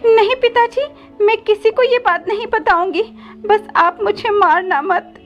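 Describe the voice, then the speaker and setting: A woman's voice: very high voice, a little slow, voice pushed up. A woman voicing a timid, tearful little girl bird, meek and pleading, in a cartoon moral story. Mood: meek obedient. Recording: slightly dull audio with background music.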